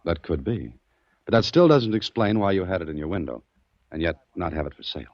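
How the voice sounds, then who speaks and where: An actor playing a wry, inquisitive adventurer who also narrates, conversationally, in a 1940s radio drama. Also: male voice, low voice, animated, fairly quick, no room sound. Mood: puzzled, insistent. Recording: dull old 1940s radio recording.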